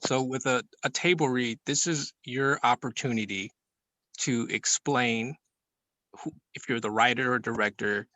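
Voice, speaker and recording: A man's voice: medium pitch, fairly even intonation, measured pace, bright, forceful tone, dry recording, rough recording. A man in an informal class discussion, explaining his opinion emphatically and rephrasing his points as he goes. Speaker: participant in a film class group meeting.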